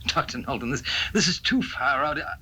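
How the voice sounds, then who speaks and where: A man playing a hesitant, sceptical investigator in a 1970s radio drama, speaking in a halting, uneasy way. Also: medium pitch, animated, bright, forceful tone, breathy edge, heavily acted. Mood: uneasy, disbelieving. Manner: halting, stammering.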